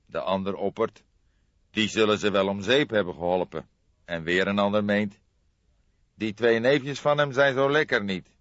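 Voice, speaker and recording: A man voicing a calm, sombre old police inspector in an audiobook, speaking in a measured, thoughtful way. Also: lowish voice, no echo, lo-fi sound, pitched above the performer's own voice, natural voice. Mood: cautious, reasoning.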